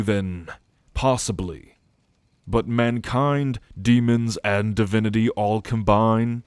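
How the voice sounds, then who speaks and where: Man playing a slow, low-voiced brooding immortal. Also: a little mellow, no echo. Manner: measured, pensive, formal phrasing with deliberate pauses. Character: brooding ancient male celestial being, dutiful, formal, married. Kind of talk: audio roleplay ASMR acting.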